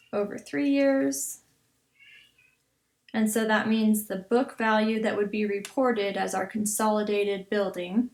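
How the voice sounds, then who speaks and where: Gravelly-edged woman explaining a worked problem. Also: mid-range voice. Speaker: accounting instructor. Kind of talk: explanatory tutorial, reading then working through a problem.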